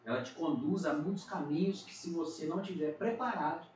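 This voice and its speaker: A man's voice: on the high side, a little fast, a little mellow. A middle-aged man, a pastor from Minas Gerais with a Brazilian accent, giving an earnest testimony in a casual podcast chat. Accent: Brazilian Portuguese, Minas Gerais. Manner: earnest, frequent tag questions. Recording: echoey room, distant mic, faint noise.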